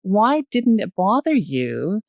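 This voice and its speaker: An older woman with a Canadian accent, a guest in a casual discussion who thinks aloud haltingly and rephrases as she goes. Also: medium pitch, sing-song, gravelly, darkish tone, dry recording, studio quality. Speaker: podcast guest, retired journalist.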